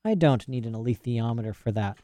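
A man reading a story aloud and voicing a stern, detached nobleman who speaks quietly and evenly. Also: medium pitch, brisk, no echo, voice pushed down, close to an everyday voice. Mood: calm cold. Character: cold, calm, stern aristocratic father.

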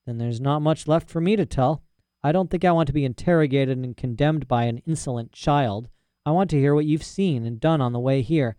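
A man reading a story aloud and voicing a stern, detached nobleman who speaks quietly and evenly. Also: a little high, a little fast, clean tone, no echo, clean studio sound, close to an everyday voice. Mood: calm cold. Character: cold, calm, stern aristocratic father.

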